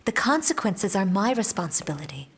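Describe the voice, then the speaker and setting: A woman's voice: mid-range voice, very animated, breathy edge. Casting: anxious young noblewoman, fantasy audio drama, mid-range voice, formal and earnest, keeping secrets. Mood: earnest, insistent. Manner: earnest, measured, formal phrasing. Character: guarded, anxious young noblewoman hiding her troubles.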